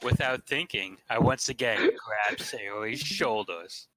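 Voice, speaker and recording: A man's voice: mid-range voice, takes it slowly, bright, forceful tone, faint music behind. A man reading scripted visual novel narration in a casual staged reading.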